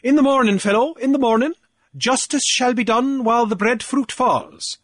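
A man's voice: extremely high pitch, normal speed, fairly bright tone, no room sound, studio quality, voice pushed up. A man voicing a pompous, drunken male beachcomber official who blusters in a lilt. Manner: bombastic, wordy, theatrical.

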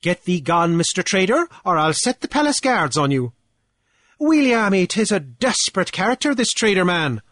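A man's voice: sits high, very animated, moderate pace, fairly bright tone, no echo, studio quality, voice pushed up. A man voicing a pompous, drunken male beachcomber official who blusters in a lilt. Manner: bombastic, wordy, theatrical.

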